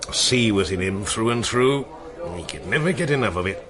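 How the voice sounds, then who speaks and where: A man's voice: fairly low pitch, expressive, fairly quick, a crowd in the background, lightly acted. An actor playing a seasoned, wistful seaman in a radio drama, telling tales in a musing, colloquial way. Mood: confiding. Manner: musing, colloquial storytelling with seafaring turns of phrase. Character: seasoned old seaman, wistful, salty storyteller.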